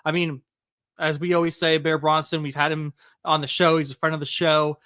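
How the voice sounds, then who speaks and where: A man co-hosting a casual podcast, his voice bright and ringing and pitched a little high. He talks eagerly and conversationally, with plenty of fillers, into a close mic in a dry room. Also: clear, resonant tone, no room sound. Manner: excited, quick, conversational with filler. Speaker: podcast co-host. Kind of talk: casual wrestling podcast chat.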